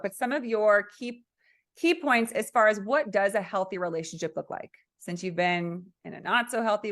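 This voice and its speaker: A woman hosts a podcast and asks her guest gentle, encouraging questions. Her voice sits at a middle pitch, and she keeps the conversation easy and relaxed. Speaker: podcast host. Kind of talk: casual interview chat.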